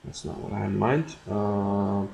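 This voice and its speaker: A man's voice: fairly low pitch, fairly even intonation, normal speed, creaky, warm tone, highs cut off, slight background noise. A man with an Icelandic accent giving casual live commentary as he streams a game, muttering and repeating his own phrases, thinking aloud. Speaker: chess streamer.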